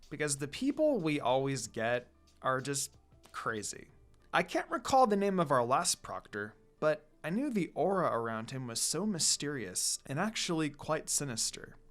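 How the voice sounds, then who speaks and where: An expressive reader and channel host narrating anime fan fiction in a casual, storytelling style. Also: medium pitch. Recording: background music.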